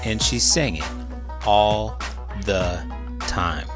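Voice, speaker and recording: A man reading a children's book aloud in an expressive way, with big swings in volume. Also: fairly low pitch, extremely slow, over music. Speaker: children's book reader and teacher. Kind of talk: animated storybook read-aloud.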